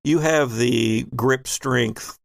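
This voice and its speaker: A man's voice: mid-range voice, extremely slow, gravelly, no echo. Man game master, close dry sound. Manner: vivid descriptive narration mixed with conversational rules talk. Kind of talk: narrating a tabletop role-playing game, casual and improvised.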